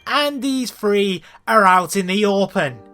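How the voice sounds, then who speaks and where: Male video reviewer, bright and highly animated, sarcastic and exasperated in scripted rants. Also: slow, faint music behind.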